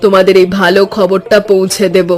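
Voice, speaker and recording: A kindly village auntie, voiced by a man in an animated story. The voice is high and flat in melody, supportive and measured, over music. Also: lightly acted. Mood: encouraging. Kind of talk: scripted animated story dialogue.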